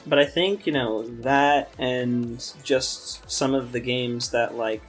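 A young man co-hosts a casual podcast at an ordinary middle pitch. He talks in a halting, conversational way, doubling back to rephrase, with music playing underneath. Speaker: podcast co-host, game designer. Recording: music behind the voice. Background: background music.

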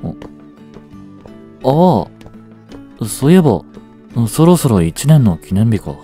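A man's voice: medium pitch, very animated, takes it slowly, mellow, soft background music. A man acting an aloof, dry but secretly fond teenage boyfriend in a romantic voice drama, speaking in a cool, curt, teasing way. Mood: casual, placating. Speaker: voice actor. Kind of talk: romantic situation voice drama, one-sided dialogue.

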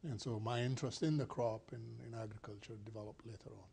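An older man from Ethiopia, a scientist answering interview questions in a measured, thoughtful way with many fillers, recorded on a lapel mic in a small studio. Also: on the low side, fairly even intonation, gravelly, darkish tone, slight background noise. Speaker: plant scientist guest. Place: small studio set indoors.

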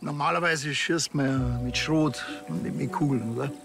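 A dry, bossy Bavarian cop in a TV crime comedy. His voice is fairly high and creaky, his manner curt, with music behind. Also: normal speed. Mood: dry, probing. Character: dry, bossy Bavarian male police officer.

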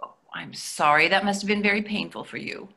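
Woman playing a bright-voiced, dry older wife. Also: mid-range voice, a little fast, creaky. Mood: mildly defensive. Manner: matter-of-fact, dry. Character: dry, exasperated, practical wife in long marriage. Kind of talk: readers theatre play reading. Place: plain room indoors, video call.

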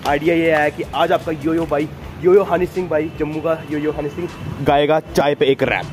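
A man's voice: fairly high pitch, flat delivery, normal speed, soft background music. A young man with a sore throat, vlogging casually and playfully to a phone held at arm's length, first on a busy market street and then at home. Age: young adult. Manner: playful, teasing, keeps saying "guys" and "okay". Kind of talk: casual daily vlog. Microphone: phone camera held at arm's length.

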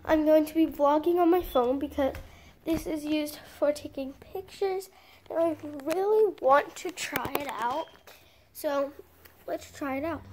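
A young girl talks to her camera from a small bedroom, rambling with plenty of "um"s and "like"s. Her voice sits high and she takes her time. A faint hiss runs underneath. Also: darkish tone. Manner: chatty, rambling with fillers. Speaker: vlogger. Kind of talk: casual vlog.

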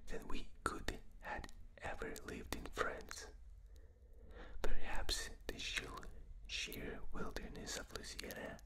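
A man with a non-native English accent whispering a book aloud for binaural ASMR, reading with small slips and restarts, recorded in a dark room at night. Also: takes it slowly. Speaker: reader. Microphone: binaural microphone.

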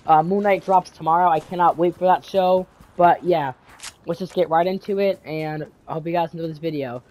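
A man's voice: on the high side, steady tone, a little fast, darkish tone. A teenage boy with a cold, rambling through casual gaming commentary with many fillers, restarts and repeated words. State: congested from a cold. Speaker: gaming YouTuber. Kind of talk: casual vlog commentary over gameplay.

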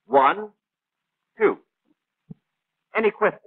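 Know-it-all 1940s training cartoon instructor, clipped n patient, high lively voice, tinny old film sound. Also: male voice, slow pace, creaky, breathy edge, no echo, heavily acted. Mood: instructive. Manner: precise, didactic, clipped. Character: brisk, know-it-all male gunnery instructor, patient but exacting. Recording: thin, band-limited 1940s film soundtrack.

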